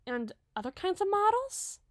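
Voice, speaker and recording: Woman voicing an emotive, high-pitched young giantess. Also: very animated, dry recording, studio quality. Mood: calm, musing. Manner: emotive, chatty, halting when flustered. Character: tearful young giantess model, indignant, dramatic, girlish, sweet. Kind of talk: roleplay audio acting.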